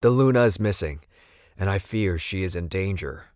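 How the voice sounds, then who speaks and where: A man voicing a commanding, protective werewolf alpha in an audiobook, with a forceful delivery. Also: deep voice, lively intonation, normal speed, no echo, highs cut off. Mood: urgent, commanding. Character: commanding, protective male werewolf alpha, fierce about his mate.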